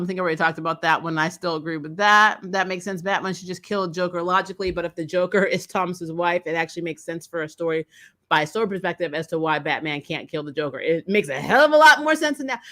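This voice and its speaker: A woman co-hosting a casual comic book chat, telling things in an animated, sarcastic way with mild swearing. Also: on the low side. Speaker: co-host of a comic book discussion stream. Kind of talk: casual fan chat, reading and answering viewer comments.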